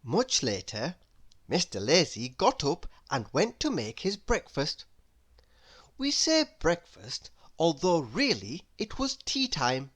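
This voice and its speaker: A woman reading a children's story aloud as an expressive, unhurried storyteller. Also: low-pitched, breathy edge. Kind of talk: children's read-aloud narration.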